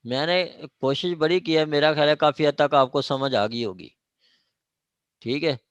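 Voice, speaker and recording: पाकिस्तानी लहजे वाला पुरुष लॉ लेक्चरर, सूखी आवाज़. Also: male voice, a little high, normal speed, no room sound, highs cut off. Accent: Pakistani. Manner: explanatory, with frequent check-in tags and examples. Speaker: law lecturer. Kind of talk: online law lecture, mixing Urdu with English legal terms.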